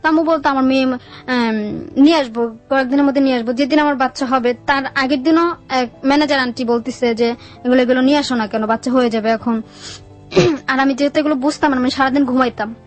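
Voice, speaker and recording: A woman's voice: high voice, fairly even intonation, fast talker, soft background music. A young adult woman with a Bangladeshi Bengali accent telling her life story in a colloquial, plain-spoken way as an interview guest.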